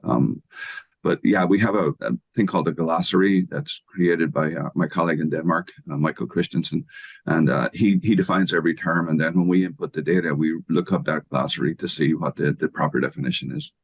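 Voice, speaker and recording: A man in his sixties with an Irish accent, giving measured, patient expert answers as an interview guest. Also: a bass voice, gravelly, slight background noise. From Ireland. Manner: measured, thoughtful, patient explaining. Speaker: guest expert, economics professor.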